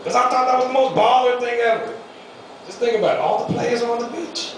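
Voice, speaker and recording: A man's voice: very high-pitched, fast talker, slight background noise. Young man doing stand-up in echoey room. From United States. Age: young adult. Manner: loose rambling delivery with fillers. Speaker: amateur stand-up comic. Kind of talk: stand-up set. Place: small comedy venue. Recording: echoey room, mic far off.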